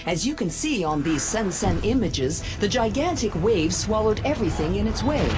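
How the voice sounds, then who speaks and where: A woman's voice: mid-range voice, natural voice. A woman playing a composed TV news anchor in a video game, delivering a formal, scripted bulletin. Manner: formal, factual newsreader delivery. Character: composed female TV news anchor in a futuristic city. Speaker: news reporter. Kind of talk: scripted broadcast news report. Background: prominent music.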